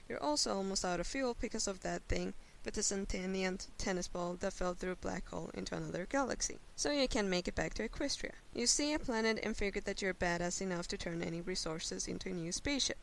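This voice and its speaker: A woman's voice: mid-range voice, a little fast, vocal fry, hardly any pauses, poor audio. A woman giving an informal dramatic reading of a story in a brash, sweary, conversational way. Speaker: guest reader of fan fiction. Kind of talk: informal dramatic reading, second-person narration. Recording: hissy home recording, faint noise.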